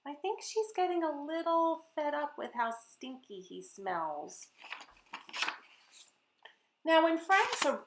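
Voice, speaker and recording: A woman's voice: fairly high pitch, a little hiss or hum. Roomy-sounding woman reading a children's story. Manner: expressive, addresses young listeners directly with asides. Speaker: children's author reading her picture book. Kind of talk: animated children's story read-aloud. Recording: roomy echo.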